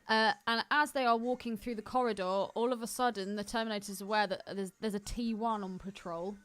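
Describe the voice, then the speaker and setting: A woman's voice: medium pitch, fairly even intonation, a little fast, fairly bright tone. A woman co-hosting a casual movie podcast, chatty and ready to argue her point. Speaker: podcast co-host.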